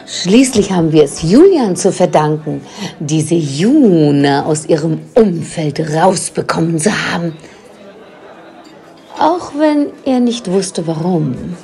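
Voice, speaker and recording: An actress playing a bossy, scheming old witch grandmother in an audio drama, husky and commanding. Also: on the low side, animated, mellow, some chatter behind, heavily acted. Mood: irritated, scheming. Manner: commanding, drawn-out, conniving. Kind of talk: German audio drama acting.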